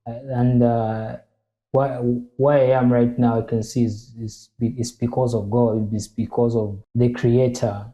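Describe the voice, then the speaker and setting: A man, a painter, talking in an interview, halting and earnestly repeating his phrases. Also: mid-range voice, level tone, mellow, muffled recording. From Zambia. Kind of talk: casual interview talk.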